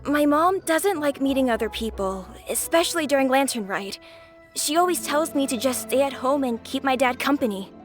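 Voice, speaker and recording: A woman's voice: high-pitched, animated, normal speed, soft background music. A worried young boy. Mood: anxious. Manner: earnest, polite. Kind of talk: video game voice acting.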